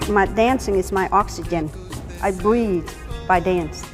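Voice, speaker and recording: A woman's voice: medium pitch, measured pace, long reverb tail, loud music behind the voice. A woman in her sixties from Thailand, answering interview questions in an earnest, emphatic way. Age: 60s. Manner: earnest, emphatic, non-native grammar. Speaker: ballroom dancer, interviewee.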